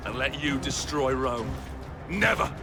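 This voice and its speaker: An actor playing a fierce, commanding Roman soldier in a dramatic video game, speaking forcefully. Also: male voice, mid-range voice, expressive, measured pace. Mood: defiant. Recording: loud music over the voice.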